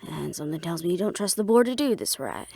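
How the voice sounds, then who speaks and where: A woman acting a guarded, businesslike plotter in an audio roleplay drama, speaking in a measured, serious way. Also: on the low side, expressive, gravelly, dark tone, slightly rough, dry recording, clean studio sound, full character voice. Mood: earnest, conspiratorial.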